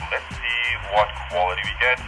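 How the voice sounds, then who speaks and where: A man's voice: on the low side, brisk, music in the background, rough recording. A man from Europe casually reporting a range test as a tech reviewer, heard over a walkie-talkie. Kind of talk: casual outdoor test commentary.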